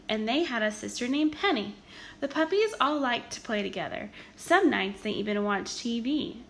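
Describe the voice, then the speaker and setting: A woman reads a children's storybook aloud with a high, bright voice. Her narration is expressive and emphatic. Noticeable background noise sits behind her. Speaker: storybook reader.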